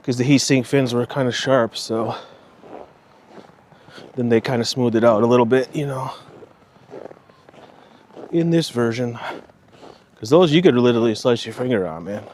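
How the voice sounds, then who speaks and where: A man's voice: medium pitch, moderate pace, pauses often, slight background noise. Casual man on a night walk. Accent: slight Minnesotan. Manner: rambling, relaxed, swears casually. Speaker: vlogger. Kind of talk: casual walking vlog.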